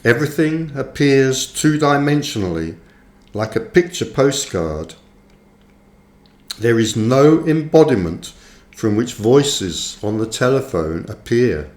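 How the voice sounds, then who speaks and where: A man narrates a book in an echoey, roomy space. His delivery is slow and deliberate, broken into short measured phrases with frequent pauses. His pitch rises and falls in a lively, animated way as he reads. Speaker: author reading own book. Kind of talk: audiobook-style scripted narration.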